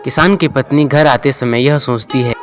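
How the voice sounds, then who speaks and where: A man's voice: mid-range voice, moderate pace. A man narrating a scripted moral tale as a storyteller. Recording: music bed under the voice.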